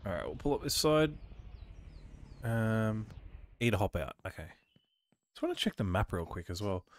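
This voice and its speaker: A man with a cold casually commentating his own gameplay, reading on-screen prompts aloud and thinking out loud as he plays. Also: fairly low pitch, very animated, moderate pace. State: has a cold. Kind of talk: casual let's-play commentary.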